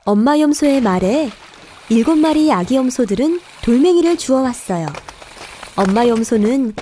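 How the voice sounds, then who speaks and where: A woman's voice: high voice, lively intonation, moderate pace, dark tone, slight background noise. A woman narrating a scripted children's fairy tale in a careful storytelling manner.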